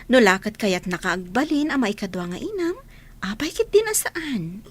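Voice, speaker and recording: A kindly sweetheart in a radio drama. Her voice is fairly high and gentle, and she talks in a coy, motherly way with a teasing touch. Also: big pitch swings, moderate pace, breathy edge. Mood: motherly, affectionate. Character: kind, coy woman being courted, motherly to a child. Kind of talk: Ilocano radio drama acting.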